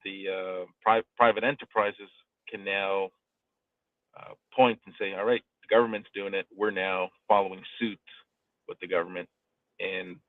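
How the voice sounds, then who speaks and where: A man explaining things in casual talk with measured, deliberate phrasing, heard through thin band-limited audio. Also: fairly low pitch, dry recording, phone-quality sound.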